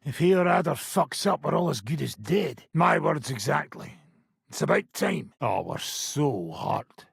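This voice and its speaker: Gruff medieval merc leader for a game, super raspy n growly, bossy and sarcastic, bit higher pitched, dry recording. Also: male voice, big pitch swings, measured pace, no room sound, heavily acted. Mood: sardonic. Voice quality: gravelly, raspy. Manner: blunt, sardonic, commanding, swearing. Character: gruff, foul-mouthed ageing medieval mercenary band leader. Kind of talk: video game voice acting.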